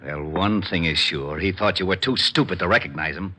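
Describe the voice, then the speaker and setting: An actor playing a gruff, exasperated homicide lieutenant with a raspy, barking delivery in an old radio crime drama. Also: male voice, sits low, fairly quick, bright, forceful tone, a little hiss or hum. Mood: exasperated, urgent. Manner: barking, impatient.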